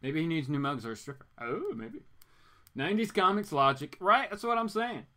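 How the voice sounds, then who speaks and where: A man's voice: sits high, moderate pace. A man reading a comic aloud informally and dramatically, breaking into joking asides. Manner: animated, joking, theatrical.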